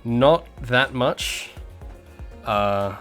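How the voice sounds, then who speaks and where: A man's voice: medium pitch, drawn-out words, a bit bright, faint music behind. A man from Australia, an indie game developer, chatting casually on a livestream, rambling with many fillers and restarts. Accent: Australian with some American sounds.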